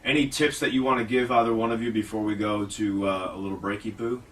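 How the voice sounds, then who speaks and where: A man's voice: fairly low pitch, steady tone, quick pace, a bit bright, recorded from a distance, slight background noise. A man hosting a fitness radio show, talking casually, rambling and mocking himself. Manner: rambling, self-mocking, restarts often. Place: radio studio.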